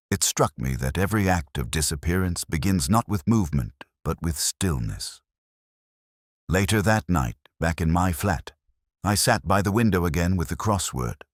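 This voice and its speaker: A man with an extremely low voice narrates literary detective fiction in the first person. His delivery is measured and reflective, yet the pitch moves noticeably from phrase to phrase. The recording is dry and close, with very little room sound. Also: dry recording.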